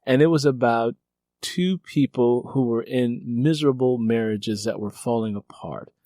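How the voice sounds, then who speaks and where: A middle-aged man hosting an author interview, talking conversationally as a fellow novelist, rambling with frequent filler phrases and animated when storytelling. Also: medium pitch, moderate pace, no room sound, clean studio sound. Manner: animated storyteller who acts out remembered exchanges; rambling, repeats "you know".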